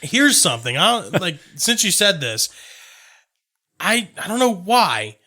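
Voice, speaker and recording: A man's voice: high-pitched, very animated, a little slow, sharp tone. A young man co-hosting a podcast, chatting casually and joking with plenty of swearing. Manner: profane, joking banter. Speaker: podcast co-host. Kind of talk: casual chat.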